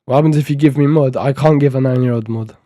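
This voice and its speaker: An eighteen-year-old man live-streaming his coding, muttering and thinking aloud as he reads documentation. His voice is mellow and a little rough from a sore throat. It sounds dry and close, with keyboard clicks under it. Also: medium pitch, fast, no room sound. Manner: mumbling, thinking aloud, reading docs half to himself. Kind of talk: casual live-stream talk while coding.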